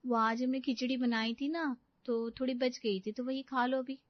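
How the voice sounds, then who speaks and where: A woman's voice: sits high, fairly even intonation, moderate pace, no echo, highs cut off, close to an everyday voice. A woman voicing a cheeky young daughter-in-law who justifies herself huffily in a cartoon family comedy. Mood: defensive. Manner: self-justifying, huffy.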